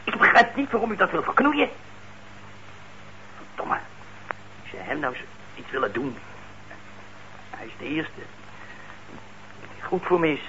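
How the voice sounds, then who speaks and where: A young ex-convict in a radio drama, with a high, tense voice. He talks bluntly and bitterly, defiant and wounded, on a dull old recording with slight noise. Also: wildly swooping pitch, unhurried, long pauses, pushed and bright, heavily acted. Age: young adult. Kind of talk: Dutch radio play acting.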